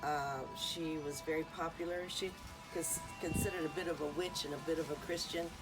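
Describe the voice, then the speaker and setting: A woman's voice: sits low, fairly even intonation, fairly quick, rough recording. A woman hosting an informal talk on music history, rambling and chatty with many ums and uhs. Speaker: host.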